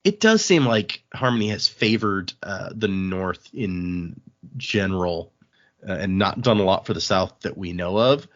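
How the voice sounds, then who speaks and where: A man's voice: deep voice, lively intonation, measured pace, fairly bright tone, highs cut off. A man hosting a podcast, casually recapping a book in an informal way. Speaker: podcast host.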